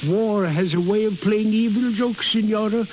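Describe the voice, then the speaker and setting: A man playing a high-voiced, kindly old innkeeper. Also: fairly even intonation, normal speed, lightly acted. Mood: soothing. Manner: quaint foreign phrasing, fatherly. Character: kindly fussing old Swiss innkeeper, devoted and blunt. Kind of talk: 1930s radio acting. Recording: muffled, hissy 1930s radio recording.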